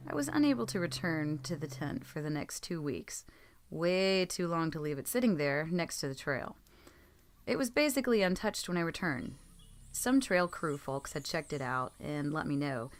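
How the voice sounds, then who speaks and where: Lower-pitched woman narrating stories, noisy recording. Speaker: narrator reading submitted stories. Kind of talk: scripted narration of creepy true stories. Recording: clearly noisy background.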